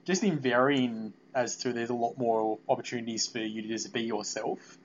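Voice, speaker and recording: A man hosting a casual podcast interview, talking in a halting, meandering way. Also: medium pitch, slight background noise. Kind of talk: casual fan interview chat. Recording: dull, lo-fi podcast audio.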